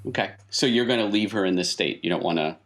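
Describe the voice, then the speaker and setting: A man runs an improvised tabletop game as its game master. His voice is fairly low as he narrates and explains to the players. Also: fast talker, a bit bright.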